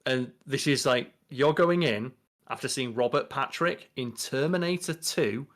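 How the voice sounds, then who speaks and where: A young man co-hosting a podcast in casual chat, talking in an animated, profane way. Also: medium pitch, a little slow. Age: young adult. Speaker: podcast co-host. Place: bedroom, on a video call.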